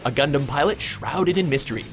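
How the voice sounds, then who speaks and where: A man's voice: a little high, lively intonation, a little fast. A man reading scripted countdown narration with a playful, punchy fan-commentary delivery. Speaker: narrator of a top ten countdown. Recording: music behind the voice. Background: background music.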